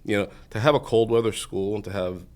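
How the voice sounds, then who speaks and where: A man's voice: lowish voice, steady tone, brisk, darkish tone. A man, telling his story as an interview guest in a rambling way, full of "you know" fillers. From Massachusetts. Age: 40s. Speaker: guest, entrepreneur.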